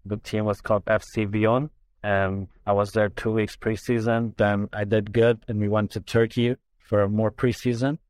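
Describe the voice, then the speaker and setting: A man's voice: lowish voice, little pitch movement, normal speed, darkish tone, clear, resonant tone, no echo. A man from Iran who grew up in Canada, not a native English speaker, chatting casually as an understated interview guest. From Iran, raised mostly in Canada. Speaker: interview guest, soccer player. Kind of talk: casual chat, recounting his own story.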